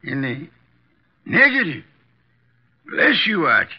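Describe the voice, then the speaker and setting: An actor playing an imperious, erudite armchair detective with formal, deliberate diction, in 1950s radio drama style. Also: male voice, fairly high pitch, big pitch swings, slightly rough, heavily acted. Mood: self-assured. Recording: dull vintage 1950s radio recording.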